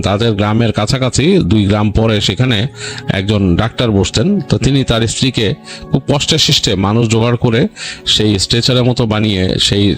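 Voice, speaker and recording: Male storyteller, Bangladeshi accent, mid-pitched voice, halting conversational narration, background music. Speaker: horror story show host. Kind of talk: unscripted ghost story narration. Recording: music bed under voice.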